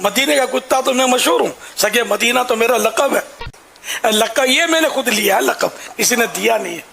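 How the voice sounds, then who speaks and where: A man's voice: very high voice, expressive, a little fast, gravelly, pushed and bright, a little hiss or hum. An older man, a religious leader, giving an informal sermon of advice to his followers.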